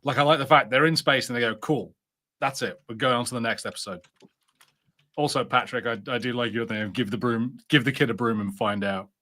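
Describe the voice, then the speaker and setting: A man with a bright, mid-pitched voice co-hosts a casual review show. He starts his points haltingly. He often acts out what he imagines someone saying. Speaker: podcast co-host.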